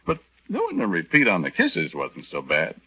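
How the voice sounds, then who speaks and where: A man's voice: low-pitched, wildly swooping pitch, normal speed, sharp tone, breathy edge, exaggerated voice. An actor playing an aggrieved young soldier husband who tells his story in a folksy, hesitant, conversational way in a 1940s radio drama. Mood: resentful. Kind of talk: 1940s radio drama first-person narration. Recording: dull old 1940s radio recording.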